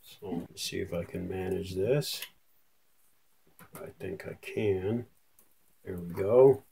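A man's voice: fairly low pitch, vocal fry, warm tone, husky. A man talking casually through a hands-on repair as the host, in an easygoing, folksy way, thinking aloud as he works. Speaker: host of a radio repair channel. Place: indoor workshop at a bench.

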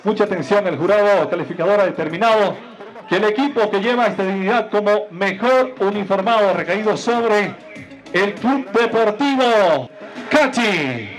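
High-voiced Ecuadorian male event announcer. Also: expressive, normal speed, poor audio. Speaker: master of ceremonies. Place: outdoor sports ground.

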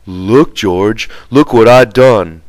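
A man's voice: lowish voice, takes it slowly, no room sound. A man voicing a huge, simple-minded, childlike farm laborer with eager, pleading rural speech. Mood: happy.

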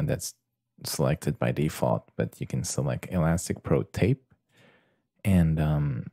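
A man presenting a casual software tutorial in a hesitant, halting way with many pauses. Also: deep voice, no echo, studio quality.

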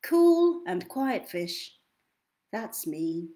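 A young woman reading picture books aloud to children in an expressive, theatrical way, drawing out key words. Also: medium pitch. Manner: theatrical, deliberate, drawn-out delivery of key words. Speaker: children's storytime reader. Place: small indoor room at home.

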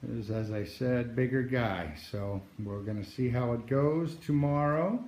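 A man from New Hampshire giving a casual, halting walkthrough into a handheld phone, with many pauses and restarts. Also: medium pitch, a little mellow, roomy sound, a little hiss or hum. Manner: slow, halting delivery with many uh's and restarts. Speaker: outdoors vlogger. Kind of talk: casual show-and-tell walkthrough. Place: garage, then outdoors by a driveway. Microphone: handheld phone camera, moving around.

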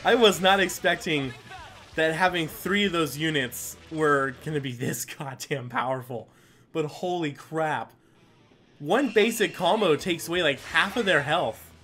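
A man with a fairly high, bright and forceful voice talks casually over a video game. He rambles along in a self-deprecating way with some mild swearing, and music plays behind him. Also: a little slow. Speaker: gaming video host. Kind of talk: casual gameplay commentary.

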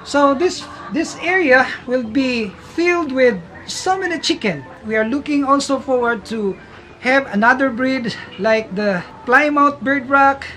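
A man's voice: very high voice, expressive, unhurried, sharp tone, soft background music. A middle-aged man, a farm vlogger, giving an upbeat casual tour of his outdoor farmyard and coop while explaining to viewers.

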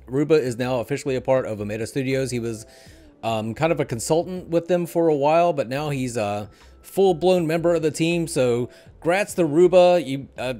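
A man's voice: on the high side, dark tone. A middle-aged man hosting a gaming podcast in an informal, conversational way, talking into a headset indoors. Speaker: show host. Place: indoor room at a desk.

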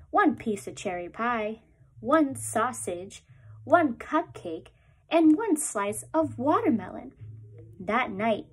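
A young woman, a preschool teacher, reading a picture book aloud to small children at home in a gentle, animated storytime voice. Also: on the high side, slightly rough. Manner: gentle, animated storytime voice, lifts up on repeated lines. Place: small room at home, indoors.

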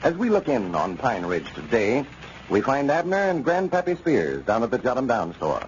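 A man's voice: mid-range voice, wildly swooping pitch, moderate pace. A man narrating a scripted story introduction as an announcer in the style of old-time radio. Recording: dull, noisy old radio recording.

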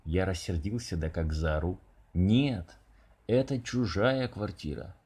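A man voicing a harried, exasperated but soft-hearted man who answers endless calls in a children's storybook. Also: low-pitched, slow, slightly rough, full character voice.

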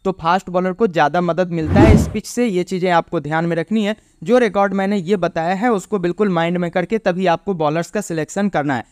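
A man presenting an explanatory cricket pitch report as a voice-over. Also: smooth, no room sound, studio quality.

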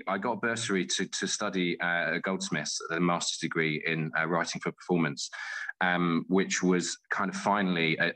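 Deep, bright-voiced man chatting informally. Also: poor audio. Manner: halting, self-interrupting, informal. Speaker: guest, playwright. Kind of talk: casual interview chat.

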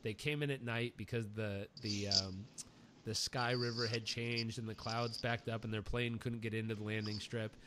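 A man's voice: fairly low pitch, fairly even intonation, moderate pace, a bit bright, a little hiss or hum. A man hosting a podcast, chatting casually and telling stories with enthusiasm, often repeating words as he starts a thought. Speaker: podcast host. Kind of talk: casual interview chat, storytelling.

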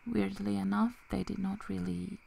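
A woman playing a loyal, protective young werewolf in a whispered ASMR roleplay, speaking softly and haltingly. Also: mid-range voice, fairly even intonation, creaky, breathy edge. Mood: pleading. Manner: soft, halting, breaking into whispers.

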